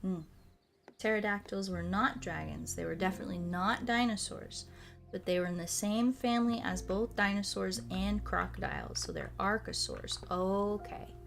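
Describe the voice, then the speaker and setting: A woman's voice: mid-range voice, animated, moderate pace, creaky, slight background noise. A woman streamer chatting casually and enthusiastically, rambling off on tangents and swearing freely. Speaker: video game streamer. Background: background music.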